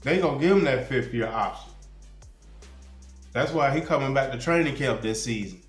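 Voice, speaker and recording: A man's voice: medium pitch, expressive, normal speed. A man with a cold talks football on his fan channel, slangy and loose, cursing freely as he goes. The room rings with echo, and faint music sits underneath. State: sniffly with a cold. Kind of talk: casual sports commentary. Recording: roomy sound with faint music.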